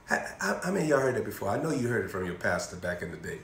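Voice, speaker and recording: A young man preaching an energetic sermon, repeating phrases and throwing questions to his listeners. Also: on the low side, rapid-fire, vocal fry, faint music behind. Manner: animated, repetitive preaching with call-and-response questions, moving around. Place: indoor room in front of a banner backdrop. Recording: echoey room.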